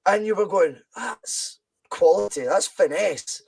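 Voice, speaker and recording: High-voiced Scottish man, passionate football talk. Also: unhurried, vocal fry, a little mellow, slightly rough. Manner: passionate, emphatic, repeats for stress. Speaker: podcast host. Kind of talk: casual football chat.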